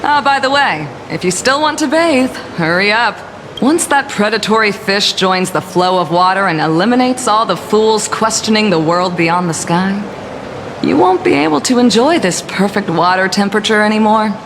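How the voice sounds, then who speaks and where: A woman's voice: mid-range voice, animated, moderate pace, noisy background, close to an everyday voice. A woman voicing a sly, nonchalant female officer in a video game, teasing and smug in manner. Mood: amused menace. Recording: music under the voice.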